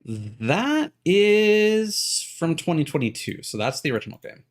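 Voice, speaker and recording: A man live streaming a video game, chatting casually and thinking aloud in a halting way as he troubleshoots. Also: on the high side, sing-song.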